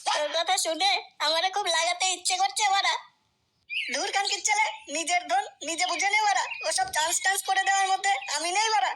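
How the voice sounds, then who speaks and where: A woman's voice: extremely high pitch, fairly even intonation, brisk, bright, forceful tone. A woman voicing a brash, foul-mouthed, hot-tempered young man in a crude comedy cartoon. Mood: dismissive. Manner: crude, boastful, slangy. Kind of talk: adult comedy cartoon dialogue. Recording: noisy track with chirping birds.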